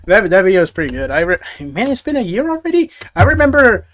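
A young man talks to his livestream audience from a small dim bedroom, his voice sitting a little higher than most men's. He rambles, restarts sentences often and chuckles between thoughts. The sound comes through a close headset mic. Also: sing-song, fast talker, fairly bright tone. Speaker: livestream host. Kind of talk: casual livestream chat reading viewer comments. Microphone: gaming headset.

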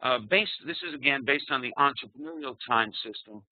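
Middle-aged New York man, trading coach; chatty, halting delivery over thin, dry webinar audio. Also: mid-range voice, no room sound. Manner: conversational, frequent fillers and restarts. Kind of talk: webinar presentation. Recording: tinny phone-like online audio.

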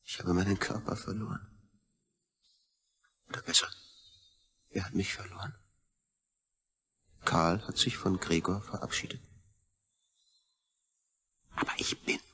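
An actor playing an anguished astronaut reduced to a brain, brooding in an intense, gravelly, often near-whispered radio drama monologue. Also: male voice, low-pitched, fairly even intonation, a little slow, long pauses, pushed and bright, exaggerated voice. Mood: bitter, brooding. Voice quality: husky, gravelly.

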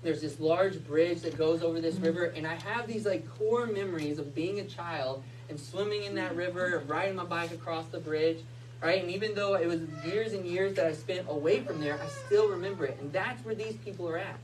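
Male pastor, 30s, lively storyteller, recorded from the back of a small hall. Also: sits high, a little fast. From United States. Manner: animated storytelling with hand gestures. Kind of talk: sermon. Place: small church hall with Christmas tree. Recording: distant mic, faint room noise.

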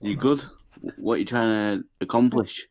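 A man's voice: on the low side, moderate pace, a little mellow, slight background noise. A man, a stranger on video chat, throwing mocking insults.

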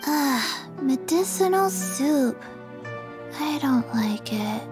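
A small zombie child from a game, high-voiced and deadpan. Each phrase comes out extremely slowly and haltingly, with faint music behind. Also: female voice, heavily acted. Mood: mild distaste. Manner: halting, flat-toned, drowsy deadpan. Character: small, listless zombie girl, childlike and blank.

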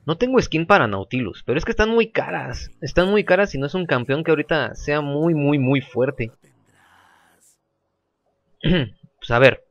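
Man commentating, throat-clearing. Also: a little high, normal speed, pauses often, no room sound, lo-fi sound. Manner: relaxed, repeats phrases, chatty. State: sore throat, clearing it often. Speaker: gameplay commentator. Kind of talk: casual gameplay commentary.

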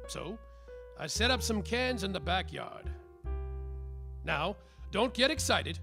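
A man's voice: high-pitched, big pitch swings, takes it slowly, gravelly, over music, exaggerated voice. A man playing a world-weary, boastful private detective in a slapstick radio mystery. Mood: boastful, wry. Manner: boastful, hard-boiled. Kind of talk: parody radio-mystery acting and narration.

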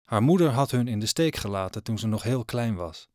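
A man's voice: mid-range voice, expressive, fairly quick, a little mellow, no room sound, studio quality. A man narrating a scripted story as the narrator of an audio drama.

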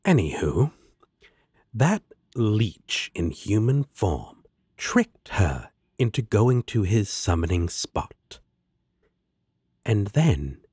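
A man acts out a smug male demon in an audio roleplay, sardonic yet protective. He drawls slowly and teasingly, the pitch swooping widely, with a husky edge to the voice. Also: darkish tone, no echo, studio quality, heavily acted.

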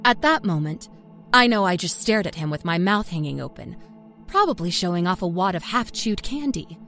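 A woman playing a self-satisfied small-town liar from Kentucky, telling her dark story in a folksy, confiding way. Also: on the low side, animated, normal speed. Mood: sly, gleeful. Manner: folksy, chatty, smugly confiding. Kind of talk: first-person dark storytelling. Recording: music under the narration.

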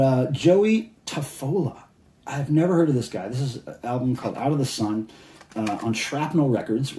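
A chatty man rambles with enthusiasm about his latest collecting finds. His voice is mellow and relaxed, at a middle pitch. The room around him rings with noticeable echo. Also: slight background noise. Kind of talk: casual show-and-tell vlog. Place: indoors at a table of cassettes.

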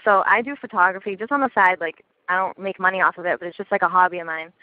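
A young woman telling a story in casual chat on a phone call, chatty and quick to swear. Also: fairly low pitch, no room sound. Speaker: caller. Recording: dry tinny phone line.

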